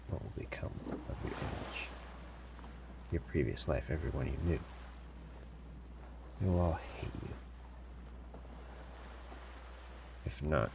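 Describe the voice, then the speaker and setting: A man playing a sly, commanding pirate captain in an audio roleplay, smugly amused and theatrical, with deliberate, formal phrasing, teasing pauses and a gravelly voice. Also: extremely low pitch, noisy background, heavily acted. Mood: grave warning. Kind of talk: scripted immersive audio roleplay addressed to listener.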